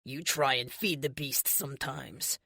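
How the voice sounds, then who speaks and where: A woman's voice: on the low side, very animated, normal speed, a bit bright, dry recording, clean studio sound. A woman voicing a brash, good-humored male speedster with a Boston accent, casual and joking, in an audiobook. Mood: sheepish, playful.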